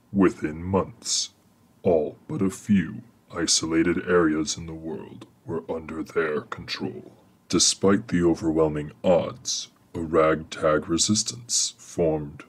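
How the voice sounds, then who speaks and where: Casting: grave game-story narrator, extremely low, husky, measured and slow. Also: male voice, heavily acted, rough recording. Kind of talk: solemn scripted game narration.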